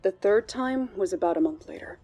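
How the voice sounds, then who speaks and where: A woman's voice: mid-range voice, animated, a little fast, dark tone, slightly rough. A woman playing a worried, hesitant colleague giving interview testimony in a true-crime audio drama. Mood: uneasy. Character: worried female bank colleague recalling a troubling friend. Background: background music.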